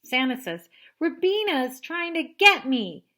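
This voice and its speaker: A woman's voice: high voice, big pitch swings, measured pace, pushed and bright, pitched above the performer's own voice, heavily acted. A woman voicing an eager, pleading little sister with emphatic delivery in a children's picture book. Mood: tattling. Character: excitable, pleading little girl, younger sister.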